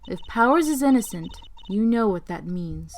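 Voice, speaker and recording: A woman's voice: medium pitch, expressive, moderate pace, a little hiss or hum, lightly acted. A woman voicing a composed, encouraging young mentor lawyer in an amateur video game dub, earnest and guiding. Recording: music behind.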